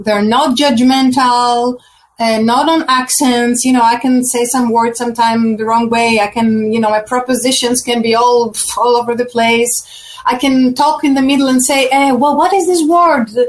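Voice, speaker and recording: High-voiced woman. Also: poor audio. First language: Greek. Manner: hesitant, searching for words, warm laughs. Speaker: guest. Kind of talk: casual chat on a video call.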